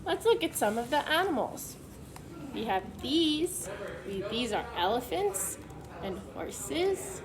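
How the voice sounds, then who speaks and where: A woman reading a picture book aloud to young children, pausing to explain things simply as a preschool teacher would. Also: sits high, big pitch swings, a crowd in the background, poor audio.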